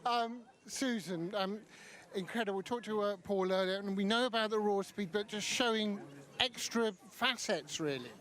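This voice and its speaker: A man's voice: high voice, normal speed, a bit bright. A man interviewing guests on a live sports broadcast, chatty and a little rambling in his delivery. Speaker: trackside presenter interviewing owners and trainers. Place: outdoors at a greyhound track.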